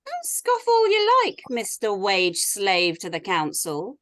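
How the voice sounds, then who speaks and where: A woman's voice: medium pitch, wildly swooping pitch, takes it slowly, a bit bright, heavily acted. A woman acting a brash, flippant middle-aged party performer in a theatrical, defiant way for a script read-through. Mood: defiant, boastful.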